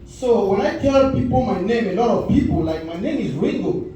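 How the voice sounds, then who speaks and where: High-voiced Cameroonian man on a club stage. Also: moderate pace, slight background noise. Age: 40s. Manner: storytelling with lively voiced quotes. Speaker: stand-up comedian. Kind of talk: stand-up comedy set. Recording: echoey club audio from far off.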